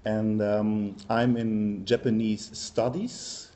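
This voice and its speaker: A man's voice: on the low side, little pitch movement, takes it slowly, warm tone. A middle-aged man with a German accent giving an academic workshop presentation, hesitant and full of ums and uhs. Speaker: university lecturer.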